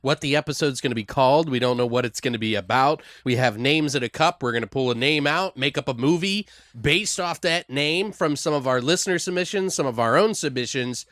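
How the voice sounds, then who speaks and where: A man's voice: mid-range voice, a little fast, a bit bright, dry recording, clean studio sound. A man co-hosting a podcast with casual, profane, teasing banter. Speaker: podcast co-host.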